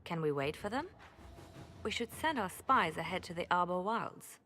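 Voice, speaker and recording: A woman's voice: lowish voice, animated, moderate pace, sharp tone. An actress playing a serious, purposeful female spymaster who talks strategy in a fantasy video game. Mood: determined. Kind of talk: dramatic video game acting.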